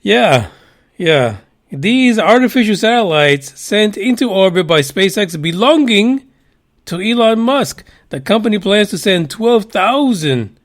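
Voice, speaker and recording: A man hosting a casual news podcast, cursing freely, laughing at himself and drifting into rambling asides. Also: high-pitched. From United States. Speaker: podcast host.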